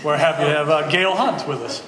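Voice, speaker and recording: A man giving an informal, halting, jovial introduction of a cast as the playwright. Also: fairly high pitch, a crowd in the background. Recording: echoey hall, faint background noise.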